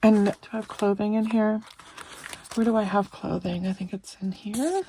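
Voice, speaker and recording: A woman's voice: mid-range voice, fairly even intonation, normal speed, mellow, slight background noise. A woman in her thirties chatting casually while doing her weekly budget, tired and stuffy, rambling a bit as she works. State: stuffy and exhausted. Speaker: budgeting vlogger.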